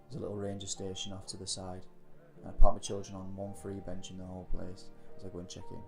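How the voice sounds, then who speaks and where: Low, gravelly male storyteller, even delivery. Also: fast talker, music in the background. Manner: descriptive, reflective storytelling. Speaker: narrator of an audio story, playing a scout leader. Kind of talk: scripted first-person audio drama narration.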